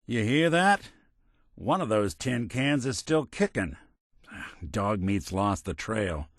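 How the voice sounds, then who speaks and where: Low-pitched, wry noir detective robot. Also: male voice, big pitch swings, takes it slowly, dry recording, heavily acted. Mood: focused, investigative. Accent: American. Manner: dry, wry, streetwise slangy delivery. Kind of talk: 1940s hardboiled detective video game acting.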